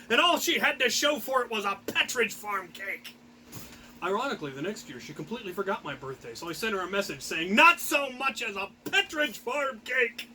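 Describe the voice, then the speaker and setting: A man with a high-pitched, bright voice spins a personal story. His delivery is animated and theatrical, with a grandiose flair. There is faint noise behind him. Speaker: storyteller and host.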